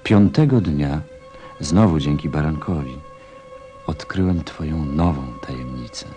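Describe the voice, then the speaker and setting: Gentle reflective narrator, super deep voice, radio play with music behind. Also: male voice, unhurried. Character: gentle, reflective adult male narrator recalling his small friend. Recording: music under the voice.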